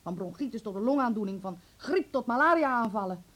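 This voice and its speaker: A woman's voice: on the high side, animated, a little fast. A woman acting a bitter, domineering, scornful middle-aged mother in a Dutch radio drama, indignant and reproachful.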